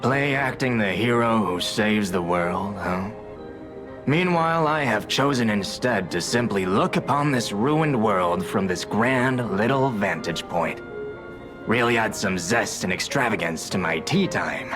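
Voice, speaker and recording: An aloof, mocking merchant for a video game. The voice sits fairly low but leaps around with grand theatrical swings. He sounds pompous and sardonic, with music clearly playing behind him. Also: lively intonation, unhurried. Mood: mocking, grandiose. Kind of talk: scripted video game character acting.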